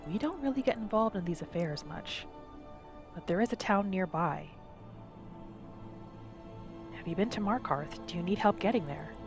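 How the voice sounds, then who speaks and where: A woman voicing a calm, reserved wood elf huntress who speaks in a measured, slightly distant way. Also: mid-range voice, close to an everyday voice. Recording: voice over loud game music.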